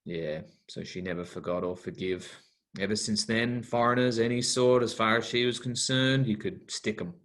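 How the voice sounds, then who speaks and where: A man's voice: lowish voice, moderate pace, a bit bright, natural voice. An actor playing a bitter, hostile young man, mocking and contemptuous. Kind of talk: broadcast drama acting.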